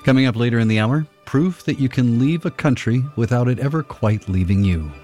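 A man's voice: medium pitch, lively intonation, a little fast, over music. A man hosting classical radio, giving a wry, conversational scripted introduction to a piece.

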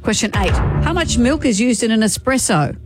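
A woman's voice: fairly high pitch, animated, a little fast, music in the background. A woman with an Australian accent, hosting on radio as quizmaster with bantering presentation. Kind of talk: bantering quiz show presenting.

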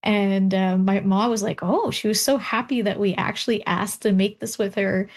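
A young woman, a doctoral researcher, talks as an interview guest about her work and her family. Her storytelling is animated, full of laughs and restarted thoughts. Her pitch sits in the middle. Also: normal speed.

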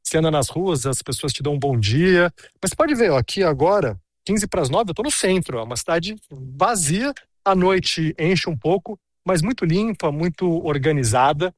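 A man's voice: on the high side, animated, moderate pace, no room sound, studio quality. A man in his thirties giving a chatty on-location report, telling stories with many asides. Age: 30s.

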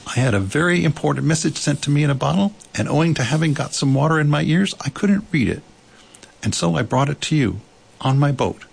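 A man voicing a proud, simple-minded little bear in a storybook, growly, earnest and deliberate. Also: fairly high pitch, lively intonation, gravelly, slightly rough, pitched above the performer's own voice, exaggerated voice, low-quality recording. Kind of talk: storybook character voice.

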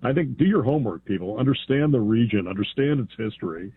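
A man from the United States calling into a radio show, earnest and halting, repeating words as he speaks. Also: mid-range voice, animated, slight background noise. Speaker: caller. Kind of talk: phone-in call. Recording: phone line.

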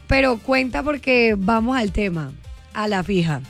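Lady radio host, teasy quick banter, bit high voice, soft music under. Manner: teasing, lively, quick.

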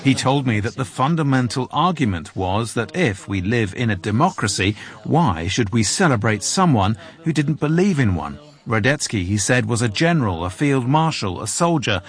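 A man delivering a scripted radio news report as a correspondent, in a measured, even manner. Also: medium pitch.

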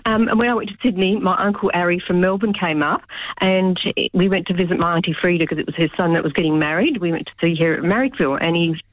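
A woman's voice: fairly low pitch, quick pace, no room sound. Female caller, rambling, chatty, muffled phone line. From Greece. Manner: rambling, chatty, many ums. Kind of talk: casual phone-in chat.

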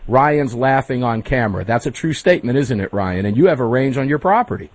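A man hosting a talk radio show, speaking in an energetic, emphatic way to his guests and listeners. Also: medium pitch, a little fast, dry recording.

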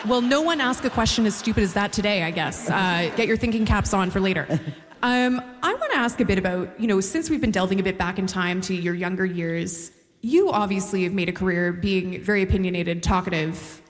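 Woman, interviewer, quick delivery, inquisitive, conversational, reverberant hall. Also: medium pitch. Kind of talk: onstage interview. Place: large hall, on stage. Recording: echoey hall with long reverb.

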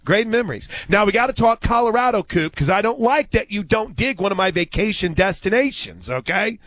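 A man's voice: high voice, expressive, a little fast, a bit bright, no room sound. A man hosting a live sports radio show, telling stories in a casual, folksy, exclamatory way.

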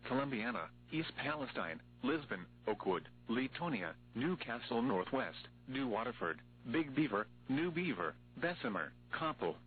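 A man's synthetic-sounding voice reads a formal emergency weather bulletin in an impersonal way. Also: medium pitch. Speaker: weather alert announcer. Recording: muffled radio sound with hum, noise.

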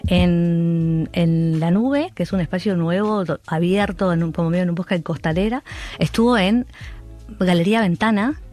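Low-voiced argentine woman, rioplatense accent, lots of ehs and restarts, soft music under. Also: moderate pace. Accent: Rioplatense Spanish. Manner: hesitant, many fillers and restarts. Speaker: art curator guest. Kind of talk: casual interview conversation.

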